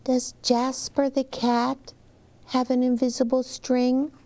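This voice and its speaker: A woman's voice: sits high, level tone, slow, mellow, voice pushed up. A woman voicing curious, anxious young twins in a storybook, with earnest childlike questioning. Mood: curious, wondering.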